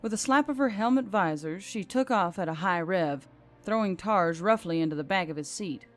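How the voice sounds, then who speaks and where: A woman's voice: medium pitch, expressive, moderate pace. A woman reading scripted fiction as an audiobook narrator. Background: background music.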